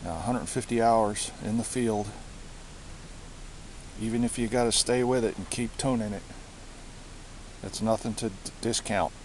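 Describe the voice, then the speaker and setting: A man giving a casual show-and-tell of his gear, speaking haltingly and often repeating himself as he searches for words. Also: fairly low pitch, steady tone, a little mellow, poor audio. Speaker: hobbyist presenter. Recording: hissy amateur home recording.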